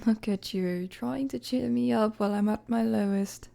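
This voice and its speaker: A woman playing a weary, guarded young queen in a dramatic audio roleplay, with a soft, breathy voice and measured, formal, hesitant phrasing. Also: fairly high pitch. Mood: flustered, disbelieving. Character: weary young queen, regal, guarded, firm with her friend.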